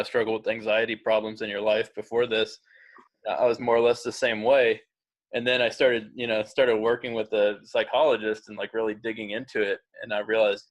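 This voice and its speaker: A man's voice: fairly low pitch, low-quality recording. A man in a casual video call, talking in a rambling way full of fillers. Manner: rambling, many "like" and "you know" fillers. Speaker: Zoom meeting participant. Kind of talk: casual group video chat.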